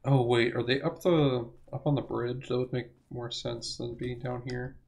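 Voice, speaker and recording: Gravelly young man, hoarse gamer. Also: medium pitch, level tone, moderate pace, a little mellow. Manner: rambling, self-correcting, laughs to himself. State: losing his voice. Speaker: gaming channel host. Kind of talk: casual let's play commentary.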